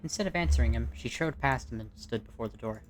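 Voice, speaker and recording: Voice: mid-range voice, fast, rough recording. An amateur narrator reading a scripted game story at home. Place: quiet room at home. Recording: home recording with faint music behind.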